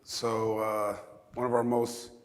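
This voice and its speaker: A man with a raspy voice speaking briefly and self-deprecatingly at a conference talk. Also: lowish voice, level tone, takes it slowly. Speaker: product manager, conference speaker. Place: large conference hall. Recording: distant with long hall reverb.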